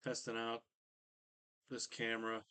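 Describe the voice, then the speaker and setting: A man's voice: mid-range voice, completely flat delivery, unhurried, pushed and bright, clear, resonant tone, no room sound. A man hosting a live stream in a casual, distracted, muttering way.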